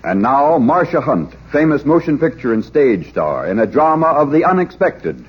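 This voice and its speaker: A man's voice: mid-range voice, very animated, moderate pace, husky. A man announcing a radio drama series in a theatrical, portentous style of 1940s scripted narration. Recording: dull vintage radio recording, loud organ music. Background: loud organ music.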